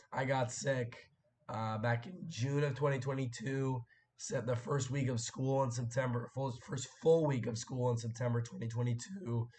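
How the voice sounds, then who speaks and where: A young man hosting a casual live stream, his voice hoarse and cracking after a sore throat, rambling and clearing his throat as he chats with viewers. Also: mid-range voice. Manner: rambling, chatty, frequent fillers and throat clearing.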